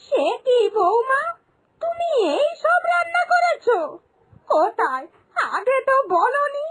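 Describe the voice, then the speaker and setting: A woman's voice: extremely high pitch, big pitch swings, slow, fairly bright tone, full character voice. A woman voicing a worried, disapproving mother-in-law in a moral-story cartoon, with plaintive, fretful questioning.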